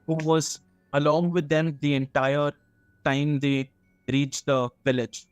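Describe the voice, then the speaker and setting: A man's voice: on the high side, little pitch movement, slow, smooth, dry recording, soft background music. Indian man telling stories, dry close sound. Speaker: storyteller on a radio horror show. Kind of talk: casual conversational storytelling.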